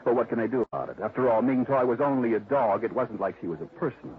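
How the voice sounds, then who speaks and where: An actor playing a scheming ad man in a 1950s radio drama, talking slow, cool and menacing. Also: medium pitch, lively intonation, lightly acted. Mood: cool menace. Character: scheming male ad man, smooth and cold. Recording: tinny 1950s radio broadcast.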